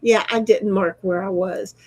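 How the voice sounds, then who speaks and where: A woman's voice: medium pitch, fairly even intonation, moderate pace, darkish tone. A middle-aged woman hosting a casual livestream, rambling and swearing offhandedly as she chats. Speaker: livestream host. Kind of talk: casual livestream chat, reading chat aloud.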